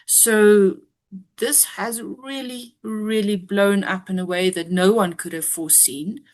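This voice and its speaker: Woman on a podcast, mid voice, calm and analytical, lots of ums. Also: mid-range voice. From South Africa. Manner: measured and analytical, frequent ums. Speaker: guest commentator. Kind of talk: interview discussion.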